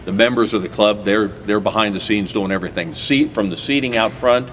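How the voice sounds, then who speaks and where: A man's voice: fairly low pitch, a little fast, fairly bright tone. A man hosting a local TV interview, talking in a rambling way with many fillers and restarts. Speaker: talk show host. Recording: noisy recording.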